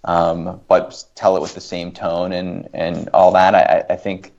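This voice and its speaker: A man's voice: low-pitched, little pitch movement, unhurried, a little mellow. A man guesting on a casual film podcast, arguing his opinions with emphasis and repeated words. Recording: dull, lo-fi voice track.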